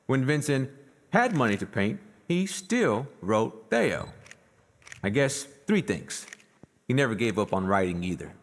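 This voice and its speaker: A man playing a reflective admirer paying tribute in a stage monologue, with measured, dramatic phrasing. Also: medium pitch. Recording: reverberant stage hall.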